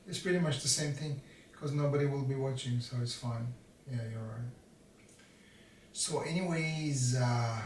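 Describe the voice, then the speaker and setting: Slow rambling man in his fifties. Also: medium pitch, slight background noise. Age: 50s. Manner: slow, self-deprecating, jokey asides to himself. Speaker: vlogger. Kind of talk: rambling self-mocking monologue. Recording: roomy, from a distance.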